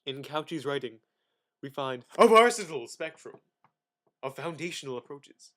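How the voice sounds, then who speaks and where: Higher-voiced man reading with grandiose drama. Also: expressive, fairly bright tone. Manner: mock-grandiose, with sudden emphatic swells and dramatic pauses. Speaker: amateur reader. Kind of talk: theatrical dramatic reading of encyclopedic text.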